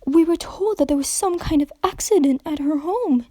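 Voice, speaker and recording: A refined, polite pony lady with a raised, careful voice, as a woman reads her. Her warm tone sits in a dry, close storybook recording. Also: high-pitched, normal speed, no echo, pitched above the performer's own voice, close to an everyday voice. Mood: polite concern. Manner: polite, concerned.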